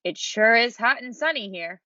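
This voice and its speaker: A woman voicing a little girl who gushes with wide-eyed delight, high and bright, in a dull, dry recording. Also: expressive, moderate pace, dry recording. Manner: squealing, gushing, high and bright.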